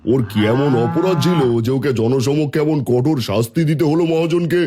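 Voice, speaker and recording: A man playing a fair-minded village headman in a cartoon fairy tale, asking questions in a calm, measured way. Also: fairly high pitch, natural voice. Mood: concerned.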